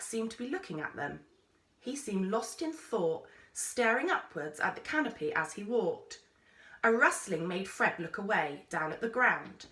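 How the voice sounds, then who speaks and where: A woman reading a children's story aloud with expressive, measured, dramatic phrasing. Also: medium pitch. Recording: roomy echo, distant microphone.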